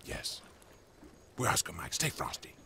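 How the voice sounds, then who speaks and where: An actor playing a gruff, commanding squad sergeant giving terse orders in a video game. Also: male voice, a little slow, sharp tone, slight background noise. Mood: hushed, decisive. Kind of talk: video game dialogue acting.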